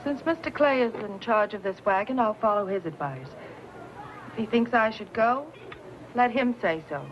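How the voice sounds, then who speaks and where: A woman's voice: medium pitch, expressive, moderate pace, noisy background, lightly acted. A woman playing a spirited young frontier woman, proud and pointed, in a western radio drama. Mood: defiant. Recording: dull lo-fi radio recording, music.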